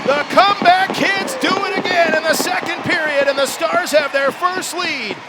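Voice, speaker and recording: High-pitched, excitable male hockey play-by-play announcer. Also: moderate pace, loud music behind the voice. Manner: excitable, builds from steady calls to shouts. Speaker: radio play-by-play broadcaster. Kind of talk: live ice hockey play-by-play commentary.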